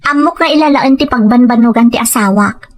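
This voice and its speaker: Woman acting a high-voiced, polite young wife. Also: a little fast, loud music behind the voice, close to an everyday voice. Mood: polite, earnest. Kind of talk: Ilocano radio drama acting.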